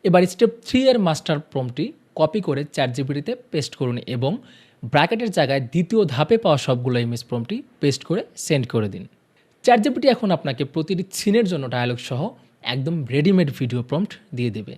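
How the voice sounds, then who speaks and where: Lively, higher-pitched male tutorial presenter. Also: quick pace. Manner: addresses viewers directly with step-by-step instructions. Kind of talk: instructional tutorial narration.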